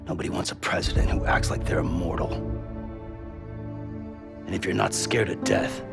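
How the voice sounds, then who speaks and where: Very deep, gravelly, weary hero. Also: male voice, normal speed. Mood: grim, blunt. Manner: terse, blunt. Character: gruff, weary, stoic male courier hero. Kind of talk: video game cutscene acting. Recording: loud new-age music behind.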